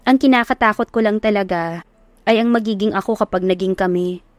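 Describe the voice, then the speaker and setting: A woman's voice: mid-range voice, brisk, dry recording, soft background music, lightly acted. A woman voicing a lovestruck, doubtful young woman in an audio romance, confiding in an earnest, worried way. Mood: worried, tender.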